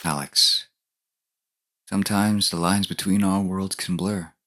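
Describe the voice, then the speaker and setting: An actor playing a dead man who writes tender letters to a partner, in halting, intimate audio drama delivery. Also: sits low, steady tone, a bit bright. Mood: earnest, pleading.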